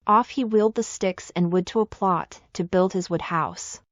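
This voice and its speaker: A woman's voice: lowish voice, moderate pace, no echo, lo-fi sound. A woman reading a scripted children's fairy tale as the storyteller.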